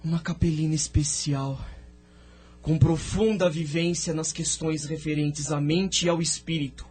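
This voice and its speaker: Solemn male seer for audio drama, played by an actor: lighter high register, slow stretched phrasing, grave and reverent. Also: fairly even intonation, darkish tone, slightly rough, soft background music. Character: male visionary seer recounting his world's past, solemn and sorrowful.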